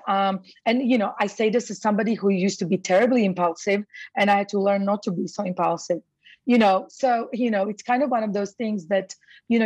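A woman's voice: mid-range voice, a little mellow, no echo, muffled recording. A woman from Croatia, a guest expert, talking through her ideas in a casual interview, with lots of "you know" and "um". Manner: thoughtful, many fillers like "you know".

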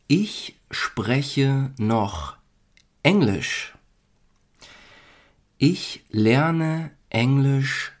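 A man from Germany hosting a podcast, reading a lesson for learners in slow, deliberate, clearly spaced phrases. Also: mid-range voice. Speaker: podcast host teaching German.

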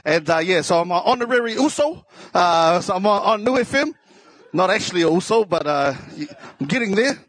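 A man's voice: high voice, expressive, fairly quick, fairly bright tone, no room sound. A man with a South Auckland New Zealand accent telling stories casually on stage as a comedian, joking and self-deprecating in slangy speech. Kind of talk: casual comedic live storytelling.

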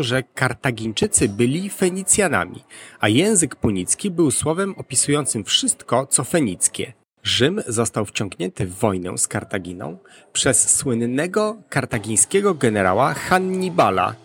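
A man's voice: mid-range voice, a bit bright, slight background noise. A man hosting an educational podcast, reading scripted narration.

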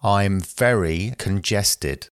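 Slow deep-voiced man reading phrases. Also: dry recording, studio quality. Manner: slow, careful, even delivery. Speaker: English phrase reader.

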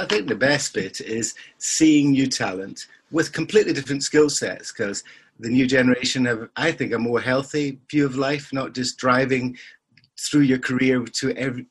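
An older man being interviewed on a podcast, his voice husky and of middling pitch. He talks in an anecdotal, conversational way and slips in dry humour. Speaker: surgeon and podcast guest.

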